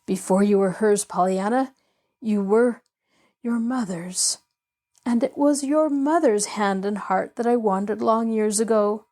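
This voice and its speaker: A woman voicing a nervous, lonely middle-aged man who was once heartbroken, speaking haltingly. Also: mid-range voice, warm tone, natural voice.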